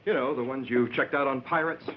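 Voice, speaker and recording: An actor playing a polite, controlling husband, solicitous and measured, in a vintage radio drama. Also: male voice, medium pitch, fairly even intonation, lightly acted. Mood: pointed, smooth. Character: genteel, controlling husband, solicitous toward his wife. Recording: muffled, faintly noisy old radio broadcast.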